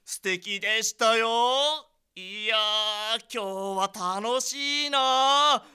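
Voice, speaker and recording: A man's voice: very high-pitched, extremely slow, bright, forceful tone, clear, resonant tone, heavily acted. A man playing an oblivious, cheerful company president who is effusive and jovial in a comic radio drama. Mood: delighted, chuckling. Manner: effusive, chortling, blithely jovial.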